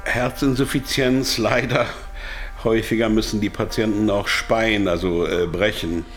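An actor playing a captive drug company executive, hesitant, formal and defensive, in a German audio drama. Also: male voice, fairly low pitch, fairly even intonation, unhurried, fairly bright tone, faint music behind. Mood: cornered, uneasy. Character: captive male pharmaceutical executive, evasive, anxious, self-justifying.

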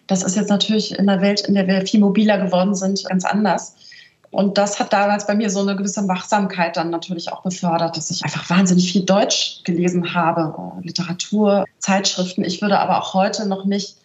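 A woman's voice: medium pitch, level tone, a little fast, a little mellow. A middle-aged woman from northern Germany, a translator speaking as an interview guest, in a thoughtful, searching way with frequent little tag questions. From northern Germany, near Celle. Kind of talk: reflective interview talk. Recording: roomy, slightly dull remote audio.

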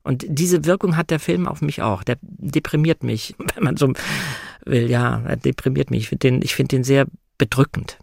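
A man talking as a guest in a personal, conversational discussion, in a reflective, measured manner. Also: mid-range voice, vocal fry, a little mellow, no echo, studio quality. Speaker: guest, audiobook narrator.

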